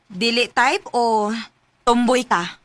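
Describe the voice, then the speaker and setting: A woman's voice: a little high, slow pace. A woman playing a nosy, teasing young best friend in a radio drama, exclamatory, with a Filipino accent. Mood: teasing, prying. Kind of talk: Cebuano radio drama acting. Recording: faint background noise.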